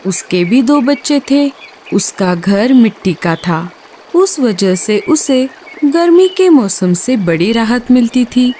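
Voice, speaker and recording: A woman's voice: a little high, animated, slow pace, mellow, a little hiss or hum. A woman giving scripted narration for a children's story.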